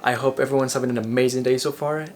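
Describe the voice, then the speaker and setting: A man's voice: medium pitch, fairly even intonation, quick pace, a little hiss or hum. A young man from Ecuador with an Ecuadorian Spanish accent, talking earnestly and motivationally in a personal vlog, now and then restarting a phrase. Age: young adult. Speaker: vlogger.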